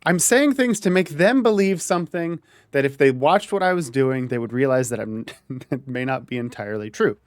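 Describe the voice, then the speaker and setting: A man's voice: medium pitch, normal speed, dry recording, studio quality. A man streaming a game, chatting casually with friends, joking and laughing often. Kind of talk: casual gaming chat.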